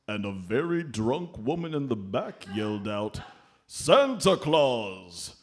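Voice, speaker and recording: A man playing a jolly, avuncular Santa Claus, gently scolding and fond, in a live comedy radio play. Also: mid-range voice, very animated, takes it slowly. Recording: live stage comedy show recording.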